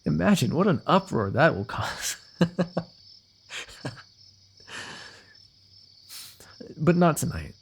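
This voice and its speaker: A man playing a lovestruck, eloquent fantasy royal in a romantic ASMR roleplay, talking intimately and poetically with gentle teasing. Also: on the high side, big pitch swings, moderate pace, creaky, slightly rough, heavily acted. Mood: amused, devoted. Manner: intimate, poetic, talkative, softly amused.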